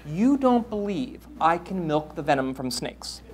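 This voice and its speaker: A man reading aloud from his book, quoting his eighteen-year-old son in a quiet, earnest, stubborn way. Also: fairly high pitch, very animated, unhurried, a little hiss or hum.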